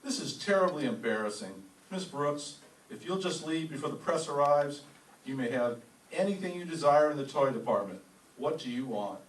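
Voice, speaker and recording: Man acting a flustered, high-voiced store boss. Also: animated, normal speed, lightly acted. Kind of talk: old-time radio comedy reenactment on stage. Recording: roomy, distant mic.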